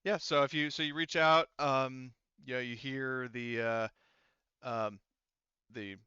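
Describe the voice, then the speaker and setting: A man improvises narration for a tabletop game. His voice is bright and smooth, though he often stalls to think aloud. The recording is dry and slightly muffled. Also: medium pitch, steady tone, takes it slowly, no echo. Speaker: game master.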